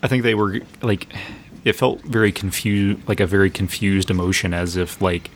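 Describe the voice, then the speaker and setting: A male podcast host with a low, creaky voice. He speaks casually and feels his way through sentences in a conversational manner. There is some faint ambient noise in the recording. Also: little pitch movement, normal speed. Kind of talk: casual chat.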